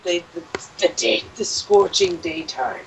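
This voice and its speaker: A woman's voice: on the low side, measured pace, recorded from a distance, slight background noise, low-quality recording. A woman chatting casually on a vlog, laid-back and giggly. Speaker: vlog co-host. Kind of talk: casual chat.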